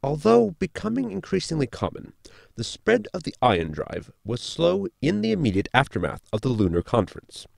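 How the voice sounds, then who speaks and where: A pedantic know-it-all crewman from a sci-fi radio comedy, played by a man. He lectures in formal, wordy sentences with swooping intonation and a creaky edge, in very dry sound. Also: on the high side, wildly swooping pitch, moderate pace, no echo, heavily acted. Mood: lecturing. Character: erudite, pedantic, deadpan male crew member. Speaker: actor in audio drama. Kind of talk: science fiction sitcom audio drama acting.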